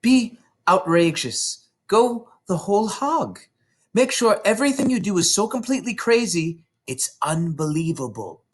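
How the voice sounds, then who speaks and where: A clever, knowing little schoolgirl in a storybook, voiced by a man. She speaks with confident emphasis in a lightly raised pitch. Her delivery swings up and down as she explains. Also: big pitch swings, a little slow, heavily acted. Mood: knowing, emphatic.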